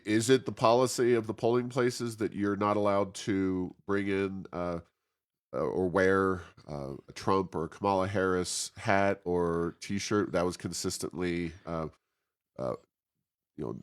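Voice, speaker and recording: A man's voice: deep voice, steady tone, unhurried. A man with an American accent hosts a casual interview, asking meandering, conversational questions with many hesitations and ums. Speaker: interview host.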